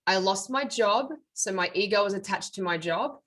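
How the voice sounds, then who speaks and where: A woman's voice: medium pitch, moderate pace, fairly bright tone. A middle-aged woman, a medium and author, telling her life story as a guest in an interview in an earnest, reflective way. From Australia. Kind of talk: interview conversation.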